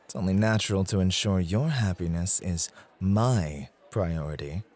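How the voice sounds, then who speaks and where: A man playing a devoted, quietly possessive club host in an ASMR roleplay, speaking in polite, formal, flowery phrases. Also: low voice, normal speed. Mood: courteous, devoted.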